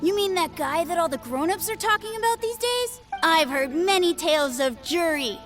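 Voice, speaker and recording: A woman's voice: very high voice, animated, moderate pace, over music. A woman voicing an eager, boastful young boy who plays at being a sword master in a video game. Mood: chatty.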